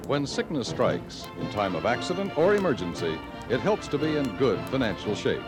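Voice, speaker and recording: An American man announcing in a scripted 1950s radio style, with formal, emphatic and measured diction. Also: medium pitch. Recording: vintage radio broadcast with music.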